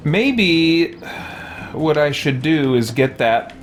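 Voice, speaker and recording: Slow-paced man muttering through gameplay commentary. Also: fairly high pitch, lively intonation, soft background music. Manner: mild exclamations, musing to himself. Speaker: let's play host. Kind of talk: casual gameplay commentary, thinking aloud.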